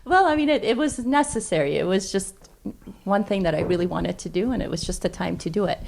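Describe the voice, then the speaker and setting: A woman's voice: mid-range voice, animated, fairly quick, creaky, a little mellow, a little hiss or hum. A middle-aged woman speaking as a guest in a conversational interview, chatty and explanatory, repeating phrases. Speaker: dentist, podcast guest. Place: dining room at home.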